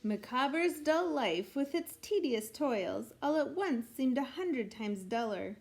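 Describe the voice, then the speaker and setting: A woman reading a rhyming picture book aloud in an expressive, measured storytelling way meant for children. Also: sits high. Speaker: storybook reader.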